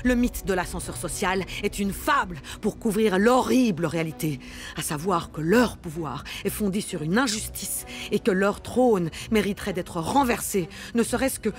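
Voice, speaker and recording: A woman's voice: mid-range voice, normal speed, faint music behind, close to an everyday voice. An actress playing an angry, defiant woman worker in a radio fiction, speaking with impassioned, militant bitterness. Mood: indignant, bitter.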